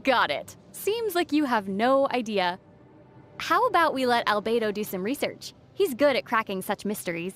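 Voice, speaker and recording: A young traveler heroine from a video game. Her voice is high and lively in its intonation, yet composed, with a playful, quick-witted teasing manner. Soft music plays faintly underneath. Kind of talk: video game character dialogue.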